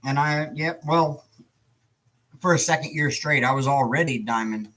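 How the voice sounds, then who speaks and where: Hoarse man hosting a chatty live stream. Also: a little high, moderate pace, bright, forceful tone. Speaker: livestream host. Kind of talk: casual chat.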